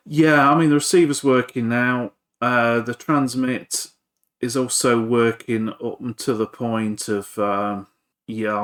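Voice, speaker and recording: Low, monotone man, hesitant. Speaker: hobbyist electronics repairer and video presenter. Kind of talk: informal workbench repair commentary.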